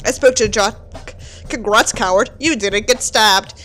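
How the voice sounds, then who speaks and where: A woman's voice: mid-range voice, a little slow, a bit bright, soft background music. A woman giving a mock-dramatic reading of a book with theatrical, sarcastic delivery and candid asides. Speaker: host reading a book aloud with commentary.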